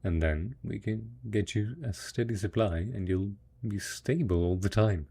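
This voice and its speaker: A man acting as a devoted, hopeful survivor in an intimate audio roleplay, talking tenderly and coaxingly to a spouse, with a husky, gravelly voice. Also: fairly low pitch, a little slow, warm tone, heavily acted. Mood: hopeful, reassuring.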